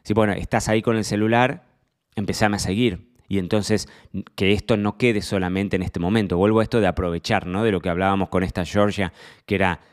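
A middle-aged man with a Rioplatense Argentine accent speaks in a somewhat low voice. He hosts his own podcast, rambling in a relaxed monologue. He pokes fun at himself, peppers his talk with fillers, and keeps checking in with the listener. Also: brisk. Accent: Argentine Rioplatense Spanish. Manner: chatty, self-deprecating, frequent fillers and tag questions. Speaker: podcast host. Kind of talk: casual solo podcast monologue.

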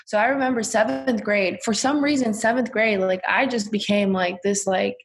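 Young female, mid-range voice. Informal podcast participant, halting personal storytelling. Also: mid-range voice, steady tone.

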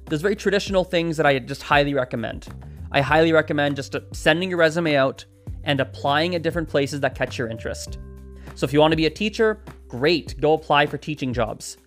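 A man hosting a solo podcast, talking in an upbeat, chatty and rambling way with restarts. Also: fairly high pitch. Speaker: podcast host. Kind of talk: solo podcast advice talk.

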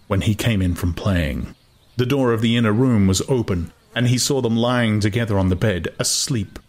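Husky, low-voiced man narrating dramatically. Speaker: audiobook narrator.

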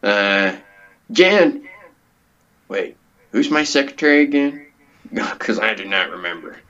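A man playing a brash, dim, impatient comedy show host, blunt and exclamatory, quick to dismiss people. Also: lowish voice, animated, bright, forceful tone, dull audio.

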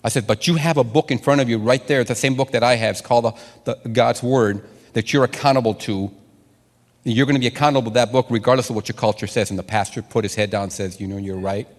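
A man's voice: mid-range voice, quick pace, long reverb tail. A man whose first language is Spanish, originally from Mexico, preaching a sermon and telling stories with lots of repeated words and restarts. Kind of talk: sermon to a congregation.

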